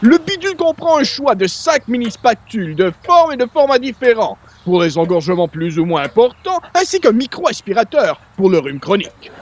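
A man playing an excitable, boastful gadget peddler in a radio comedy, with theatrical sales patter and a Quebec French accent. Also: extremely high pitch, full character voice. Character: excitable, boastful male gadget peddler pitching silly inventions. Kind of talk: old-time radio comedy acting.

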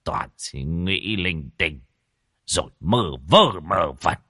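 A man's voice: deep voice, big pitch swings, normal speed, dry recording, studio quality, full character voice. A man voicing a rude, short-tempered old opium-smoking man who curses and dismisses others. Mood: irritated. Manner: gruff, dismissive, cursing.

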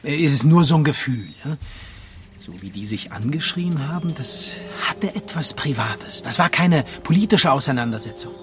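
An actor playing a smug, evasive banker in a German radio crime drama, with a glib, condescending manner. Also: male voice, on the high side, expressive, moderate pace, faint music behind, natural voice. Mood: gossipy, excited. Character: smooth-talking, evasive, snobbish male banker. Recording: clear background noise.

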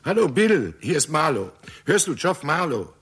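An actor playing a brisk, courteous leading astronomer in a 1960s German radio drama. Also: male voice, fairly high pitch, very animated, fairly bright tone. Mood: excited, insistent. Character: Doctor Marlow, brisk leading astronomer at observatory. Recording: 1960s radio recording with background noise.

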